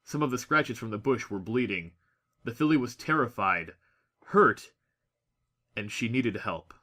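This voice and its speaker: Guy doing audiobook narration, really bright forceful voice, medium pitch, expressive storytelling. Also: measured pace. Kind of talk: scripted dramatic story narration.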